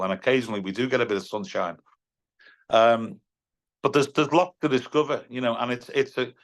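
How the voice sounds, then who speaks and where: A man, a guest in a remote interview, talking in a chatty way and often repeating words as he restarts. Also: mid-range voice. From England (Crosby, near Liverpool). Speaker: guest, music venue director and singer-songwriter. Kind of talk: casual interview chat.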